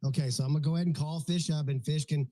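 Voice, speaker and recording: A man's voice: fairly high pitch, steady tone, very fast, mellow. A man with a Texan accent hosting a casual live audio chat, joking and rambling. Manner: rambling, joking, restarts often. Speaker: host.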